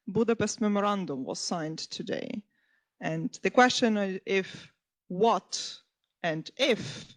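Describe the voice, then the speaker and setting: A woman historian speaking on a conference panel, earnest and often correcting herself mid-phrase. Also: mid-range voice. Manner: earnest, restarts and self-corrections.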